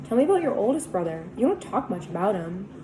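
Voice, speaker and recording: A woman's voice: mid-range voice, big pitch swings, quick pace, noisy background, close to an everyday voice. A woman voicing an earnest, curious teenage girl who coaxes with questions. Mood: coaxing. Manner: coaxing, questioning.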